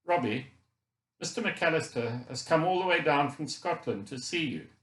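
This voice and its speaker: A man's voice: on the high side, expressive, normal speed, a bit bright, voice pushed up. Man voicing a worried, reassuring mother, echoey. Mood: gentle. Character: worried, gracious mother of an injured boy. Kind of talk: audiobook character dialogue.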